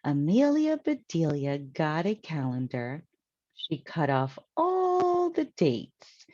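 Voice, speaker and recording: A woman's voice: low-pitched, mellow, no echo. A woman with an American accent narrating a children's story aloud as a storyteller. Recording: dry, slightly dull close recording.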